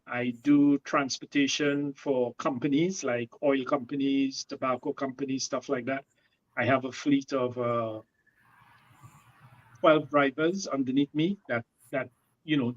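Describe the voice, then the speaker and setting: A man in his late fifties from Trinidad, a guest in a casual chat who talks in an easygoing way with many pauses and fillers. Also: medium pitch, highs cut off. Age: 50s. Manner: relaxed, hesitant with many fillers.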